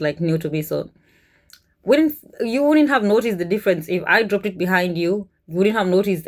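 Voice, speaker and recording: A Kenyan-accented woman recounts a story in relaxed vlog fashion, her voice sitting at an ordinary middle pitch. She talks conversationally and animatedly, with frequent chuckles. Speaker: vlogger, cruise ship worker. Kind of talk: casual storytelling to camera.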